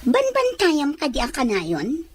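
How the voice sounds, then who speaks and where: Actress as playful young Ilocano woman, teasing, high slow swooping voice, audio drama. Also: huge pitch swings, heavily acted.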